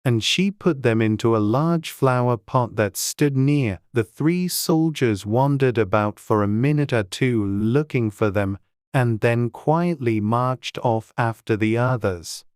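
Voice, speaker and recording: Audiobook narrator: mid-pitched, crisp and smooth, scripted storybook reading in a dry, close recording. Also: unhurried, darkish tone, no echo.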